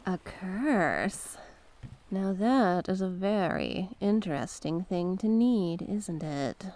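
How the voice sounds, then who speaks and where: Mysterious sea witch, played by a woman, ASMR roleplay. Slightly low, very slow delivery; genteel, sly, coaxing. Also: very animated, darkish tone, heavily acted. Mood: gently probing. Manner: coaxing, genteel, terms of endearment.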